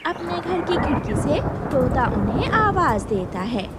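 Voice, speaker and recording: Children's story narrator: woman, fairly high, very animated delivery. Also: a little slow, heavy background noise.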